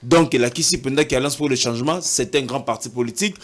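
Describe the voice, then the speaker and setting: A man's voice: mid-range voice, quick pace. A man from Congo with a Congolese French accent, an opposition party official, earnestly mixing Lingala and French in a casual interview.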